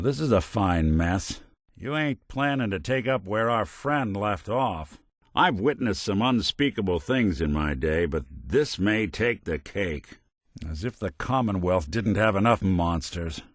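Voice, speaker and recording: A low voiced weary robot gumshoe for a game, dry wisecracks, tone moves around a lot, super dry recording. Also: male voice, no room sound. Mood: somber, dry. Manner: wry, dry, sardonic, old-time tough-guy phrasing. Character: world-weary wisecracking male synth robot detective, middle-aged. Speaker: video game voice actor. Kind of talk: scripted game companion dialogue, noir detective.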